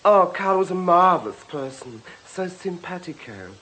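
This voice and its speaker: A man's voice: high voice, expressive, moderate pace, sharp tone. An actor playing an affected young male acolyte in a radio drama, gushing, catty and melodramatic.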